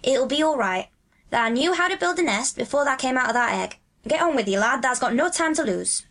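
A woman's voice: on the high side, expressive, quick pace, fairly bright tone, voice pushed up. An earnest young Yorkshire lad from a storybook, as a woman narrator acts him out. He talks in broad rustic dialect, plain and homely. His manner is kindly and reassuring. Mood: gentle, coaxing.

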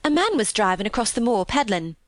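A woman reader puts on a young Yorkshire housemaid for an audiobook. The girl is cheerful and excitable, rambling on in homely dialect. Her voice is lifted and very lively, in a dry recording. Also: a little high, a little fast, no echo. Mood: proud, eager. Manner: eager, rambling, folksy dialect storytelling.

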